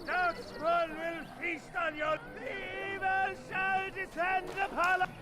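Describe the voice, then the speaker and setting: A man's voice: very high voice, level tone, takes it slowly. A man playing a frantic, fanatical doomsayer in a video game, ranting apocalyptic warnings. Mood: frantic, menacing. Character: frantic male doomsayer, fanatical and fearful, preaching doom. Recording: loud music behind voice.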